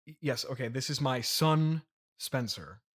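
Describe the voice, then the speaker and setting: A man playing an awkward middle-aged father and podcast host in an improvised comedy interview, halting and placating. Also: mid-range voice, animated, a bit bright, husky. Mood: awkward, formal.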